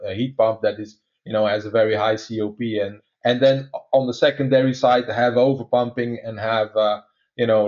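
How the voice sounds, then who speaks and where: A man with a Dutch accent walks through a technical topic in a measured, conversational way, often pausing on "uh". His pitch sits in an ordinary middle range. The recording sounds slightly dull and lo-fi. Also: a little mellow. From Netherlands. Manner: many "uh" fillers, measured explaining. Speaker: guest expert.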